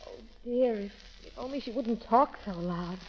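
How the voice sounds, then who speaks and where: A woman's voice: on the high side, moderate pace, lightly acted. An actress playing a timid, earnest young beauty-shop girl in an apologetic, hesitant 1930s radio romance style. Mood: despondent, anxious. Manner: apologetic, hesitant, breathless exclamations. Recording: muffled, crackling 1930s radio recording.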